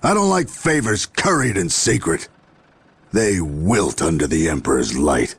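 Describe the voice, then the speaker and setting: An actor playing a gruff, proud human military general in a video game, speaking formally and sternly. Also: male voice, low-pitched, sing-song, unhurried, heavily acted. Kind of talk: dramatic video game voice acting. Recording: music under the voice.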